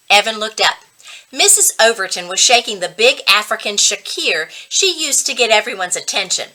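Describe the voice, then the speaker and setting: A woman reading a children's story aloud as an expressive narrator, with dramatic pauses and stretched emphasis on key words. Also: mid-range voice.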